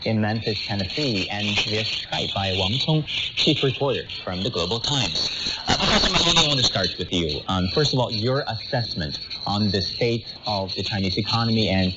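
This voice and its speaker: A man's voice: lowish voice, lively intonation, normal speed. A man hosting a current affairs show, presenting in a scripted, questioning style. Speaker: talk show host. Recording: muffled shortwave radio with loud static noise.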